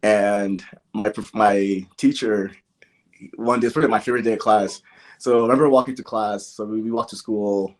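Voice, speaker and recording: A young man from Nigeria who grew up in the American Midwest, talking casually as a podcast guest and peppering his stories with "like" and "you know". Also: on the low side. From born in Nigeria, grew up in the American Midwest. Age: young adult. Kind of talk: casual interview, personal storytelling.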